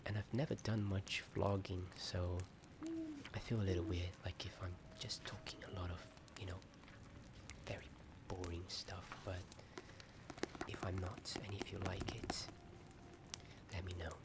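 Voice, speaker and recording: A man whispering a hesitant, rambling ASMR chat in a soft, often breath-only voice. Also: low-pitched, little pitch movement, measured pace. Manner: hesitant, rambling, self-deprecating. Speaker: ASMR video host. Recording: strong ambient noise behind whisper. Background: added ambience sound.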